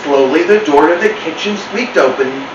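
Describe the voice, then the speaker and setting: A man's voice: fairly high pitch, expressive, normal speed, muffled recording, natural voice. A man voicing a dramatic, self-pitying house cat telling a tale in an emphatic, theatrical way. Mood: suspenseful. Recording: roomy, distant mic, clear hum.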